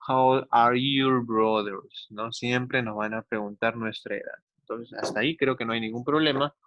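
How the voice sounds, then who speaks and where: Guy teaching english to kids, medium pitch, says examples slowly, dry close sound. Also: steady tone, dry recording, dull audio. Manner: didactic, slowly enunciates English examples, often seeks agreement with tag questions. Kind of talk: instructional online class for children.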